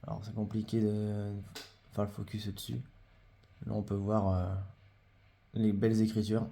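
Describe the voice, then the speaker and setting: Mellow low-voiced man, hesitant chat. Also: completely flat delivery, a little slow, vocal fry. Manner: hesitant, many fillers and repeated phrases. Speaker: collector presenting his trading cards. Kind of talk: casual show-and-tell vlog.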